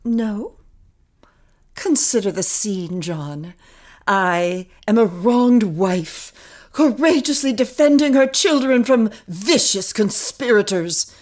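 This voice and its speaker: Woman acting a very slow, unhinged mother. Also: mid-range voice, animated, a little mellow. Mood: menacing, unhinged. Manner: deliberate, menacing, theatrical. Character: wronged, vengeful, slightly mad wife and mother. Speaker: actress in an audio drama. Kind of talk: melodramatic horror radio play acting.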